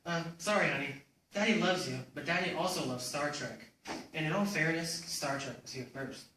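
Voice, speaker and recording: A man's voice: fairly high pitch, moderate pace, pushed and bright. A man playing a clueless, self-absorbed father in a comic readers theater piece. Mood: sheepish, defensive. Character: goofy, clueless, self-absorbed suburban dad. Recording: very echoey room, distant mic, faint noise.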